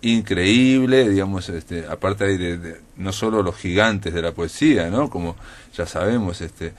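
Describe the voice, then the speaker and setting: Rambling radio host, low voice. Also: male voice, a little slow. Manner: rambling, many fillers and self-corrections. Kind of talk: relaxed radio interview.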